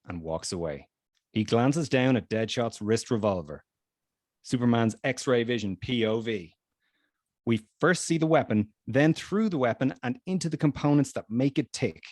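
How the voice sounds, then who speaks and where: Mid-pitched man narrating a script. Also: a little mellow, no room sound, clean studio sound. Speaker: narrator reading stage directions. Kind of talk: scripted narration at a table read.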